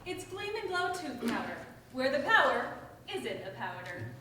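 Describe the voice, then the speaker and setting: A woman's voice: high-pitched, sing-song, moderate pace, bright, forceful tone, full character voice. A woman playing a chipper announcer selling products in an old-time radio advertisement. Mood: upbeat. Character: chipper radio announcer pitching tooth powder. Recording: echoey, distant mics, audience noise.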